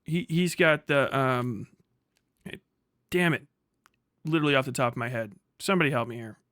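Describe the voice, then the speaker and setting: A man's voice: medium pitch, normal speed, a bit bright, no room sound. A man doing casual, profane reaction commentary as a streamer, exasperated and emphatic, rambling as he argues.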